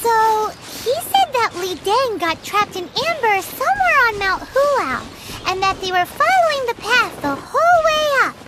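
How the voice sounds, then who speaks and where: A woman's voice: extremely high pitch, very animated, moderate pace. A woman voicing a tiny, perky, blunt fairy companion with a childish manner in a fantasy game. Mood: thoughtful, chatty. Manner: perky, childish, refers to self in third person. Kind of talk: cartoonish fantasy game voice acting.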